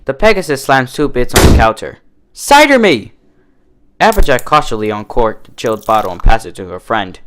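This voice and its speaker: A man's voice: mid-range voice, fast, hardly any pauses, background noise. A man reading a comic fan fiction story aloud as its narrator.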